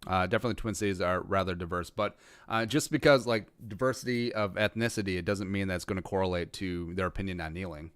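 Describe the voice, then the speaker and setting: A man with a lowish, smooth and clear voice, recorded dry and close. He hosts a casual livestream Q&A, talking loosely with many restarts and a dry, self-aware humor. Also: fast talker, a bit bright, no room sound. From rural Minnesota. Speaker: podcast host answering live chat.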